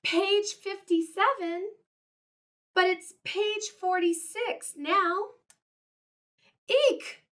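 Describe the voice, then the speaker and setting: A woman reading aloud and voicing an anxious, gentle male elephant in a picture book, with a high, fretful, exclaiming voice. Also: extremely slow, long pauses, far from the mic, heavily acted. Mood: worried.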